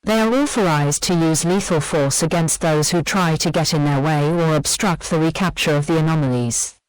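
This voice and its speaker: Female emergency alert announcer, acted, low-pitched and official, measured scripted delivery for an audio drama, very dry recording. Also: clean tone, no echo, natural voice, poor audio. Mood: grave, official.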